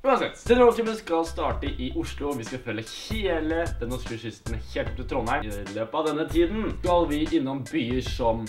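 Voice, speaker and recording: A man's voice: on the high side, expressive, few pauses, soft background music. Upbeat young man vlogging. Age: young adult. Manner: upbeat, swears for emphasis. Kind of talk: casual vlog talk.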